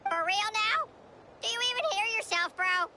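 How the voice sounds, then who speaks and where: A woman voicing a cheeky juvenile dinosaur-bird in a video game, squawking snappy parroted retorts in a squeaky voice. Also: moderate pace, bright, forceful tone, slight background noise, exaggerated voice. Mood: sassy.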